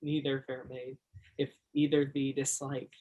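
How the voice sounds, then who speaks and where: Male voice, medium pitch, shy naive young shop worker, played by an actor, earnest plainspoken stage acting. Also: little pitch movement, unhurried, phone-quality sound, natural voice. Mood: romantic.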